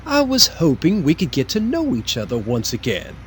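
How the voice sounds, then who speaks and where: Swooping, smarmy, taunting big brother. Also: male voice, fairly high pitch, wildly swooping pitch, moderate pace, a little hiss or hum. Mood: mocking. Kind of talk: audio drama acting.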